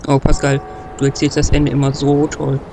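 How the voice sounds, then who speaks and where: A man's voice: mid-range voice, completely flat delivery, normal speed, noisy background. A man narrating a playful scripted Christmas story.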